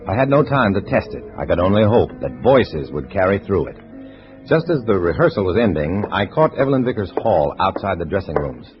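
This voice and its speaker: A low-voiced, wry secret agent tells his story in clipped, dry style. It is old-time radio drama, with music behind and a dull, band-limited sound. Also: sing-song, brisk. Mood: dry, tense. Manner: terse, dry, hard-boiled. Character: cool, wry undercover agent posing as a Communist, narrating. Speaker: narrator and lead actor. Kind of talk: 1950s radio drama acting, scripted narration.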